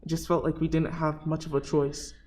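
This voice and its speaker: Performer acting earnest, pleading scientist father, mid-pitched. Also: little pitch movement, a little fast, a little mellow, natural voice. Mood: apologetic. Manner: earnest, conciliatory. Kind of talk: radio play acting.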